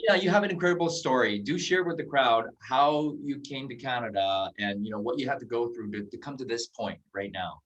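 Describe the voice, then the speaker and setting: A man's voice: medium pitch, brisk, few pauses, a bit bright, distant mic, poor audio. A man in his forties hosting a casual video-call interview, friendly and rambling with frequent restarts. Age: 40s. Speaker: host.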